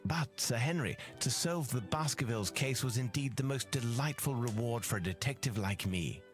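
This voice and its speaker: An actor playing a confident, analytical gentleman detective in a video game, speaking in a measured, formal and authoritative way. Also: medium pitch, animated, lightly acted. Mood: pleased. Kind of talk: video game cutscene acting. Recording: music behind the voice. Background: game music.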